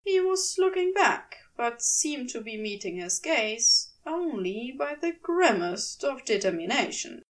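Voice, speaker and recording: A woman reading fiction aloud as an audiobook narrator, in an expressive scripted storytelling style. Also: on the high side, measured pace, hardly any pauses, recorded from a distance.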